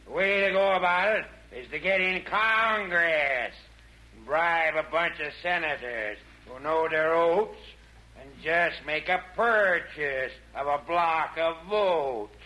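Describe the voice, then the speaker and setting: An actor playing a crooked, folksy villain with a Southern US accent in a 1940s radio comedy. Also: male voice, on the high side, expressive, slow, bright, forceful tone, full character voice, rough recording. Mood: folksy, scheming. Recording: old 1940s radio broadcast.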